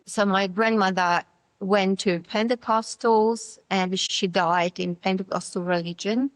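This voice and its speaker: A middle-aged woman with a Polish accent, speaking Polish as her first language, is a guest telling her life story in a thoughtful, halting way over a video call. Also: mid-range voice, no echo, poor audio. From Poland. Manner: thoughtful, halting, with restarts and fillers. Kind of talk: personal story interview.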